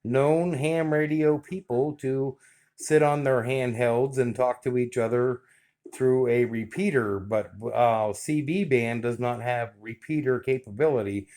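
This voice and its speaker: A man with a medium-pitched voice talks through gear in a plain-spoken, explanatory way. He sounds like a hands-on reviewer, recorded dry with very little room sound. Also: a little slow, a little mellow, no room sound. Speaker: product reviewer testing a CB radio. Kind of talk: hands-on demonstration with radio call-outs.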